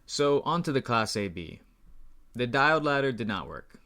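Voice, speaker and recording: Bright-voiced young man explaining electronics. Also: medium pitch, sing-song, moderate pace. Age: 20s. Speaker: host explaining his electronics project. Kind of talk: informal technical explanation to camera and in voice-over. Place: small room indoors.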